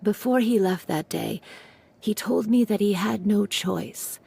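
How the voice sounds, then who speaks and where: A woman voicing a gentle, weary widowed mother in a video game, soft and measured, consoling and reflective. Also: medium pitch, creaky, slightly rough, exaggerated voice. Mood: regretful, somber.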